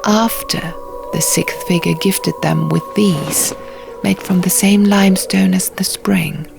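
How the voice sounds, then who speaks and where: Woman voicing an earnest, slow-spoken young male storyteller. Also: mid-range voice, steady tone, gravelly. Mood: solemn storytelling. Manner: measured, theatrical with pauses for effect. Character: earnest young male storyteller recounting dark ancient lore. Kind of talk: audiobook character dialogue. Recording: music bed under the reading.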